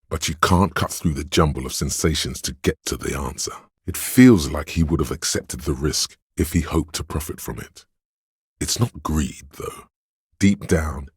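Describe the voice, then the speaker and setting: Game casting: hedonistic voice of addiction and lust, bottomless bass, heavy rasp, sensual goading drawl. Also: male voice, no room sound, clean studio sound, full character voice. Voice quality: very deep, gravelly, husky. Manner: sensual, drawling, insinuating, savouring words. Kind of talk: video game character voice-over.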